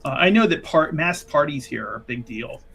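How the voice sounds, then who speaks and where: Casting: male player as probing female investigator, coy and insinuating, raised pitch, husky, fantasy roleplay. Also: expressive, fairly quick, a bit bright, full character voice. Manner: teasing, insinuating, theatrically polite. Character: sly, probing female investigator, coolly flirtatious and teasing.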